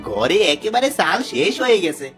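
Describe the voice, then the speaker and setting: Man acting middle-aged village trickster, cartoon fable, smug, flattering, exaggerated, very animated intonation, faint music. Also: sits high, normal speed. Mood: flattering, coaxing.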